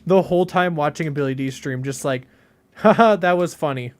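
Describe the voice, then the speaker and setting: A young man in his late teens streaming games and chatting casually, with a deadpan delivery and lots of rambling fillers. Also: on the high side, brisk. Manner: deadpan, flat delivery even when joking; rambling, many fillers and restarts. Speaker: game streamer. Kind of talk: casual live-stream chat.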